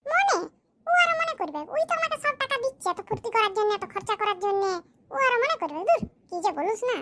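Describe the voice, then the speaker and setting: A voice actor doing a very high-pitched smug village schemer. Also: big pitch swings, sharp tone, full character voice. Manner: smug, bragging, explains his schemes slowly. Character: boastful scheming village man, newly married. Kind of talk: cartoon comedy voice acting.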